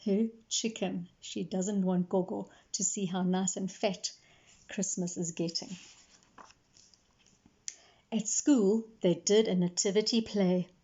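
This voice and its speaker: A woman reads a children's story aloud with expression. Her voice is soft-edged and mellow, mid-pitched, and she explains things simply. The recording sounds slightly dull. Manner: explains words simply for young listeners. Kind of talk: expressive read-aloud narration.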